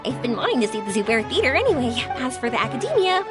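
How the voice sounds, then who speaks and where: A friendly young traveler girl from a video game. Her voice is high and very animated, breezy and informal in manner, with loud music playing behind her. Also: quick pace. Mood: easygoing. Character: bright, friendly, easygoing young woman traveler. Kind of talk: video game character acting.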